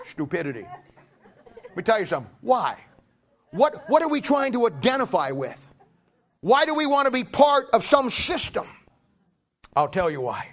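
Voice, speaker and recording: Male preacher, middle-aged, high voice, folksy and emphatic sermon storytelling with repeated phrases. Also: very animated, normal speed, long pauses. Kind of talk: sermon with anecdotes.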